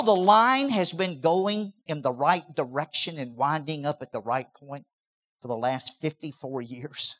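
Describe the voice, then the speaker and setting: A man's voice: a little high, bright, forceful tone. A man, preaching a sermon in an emphatic style, with repeated words and rising bursts. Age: 60s. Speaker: pastor.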